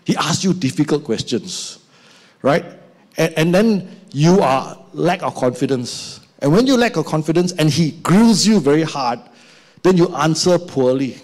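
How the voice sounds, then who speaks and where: A man's voice: fairly high pitch, a little slow. An older man with a Malaysian English accent preaching a sermon on a church stage into a handheld mic, acting out little scenes as he goes. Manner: animated, acts out little scenes with put-on voices. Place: church stage with band instruments.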